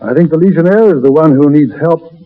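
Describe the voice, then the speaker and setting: A muffled, thin vintage radio recording with a man playing a worldly gentleman admirer. His voice sits a bit high, and he talks with smooth, unhurried urbanity. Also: natural voice. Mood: urbane, amused. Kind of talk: 1930s radio drama acting. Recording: muffled, narrowband 1930s radio broadcast.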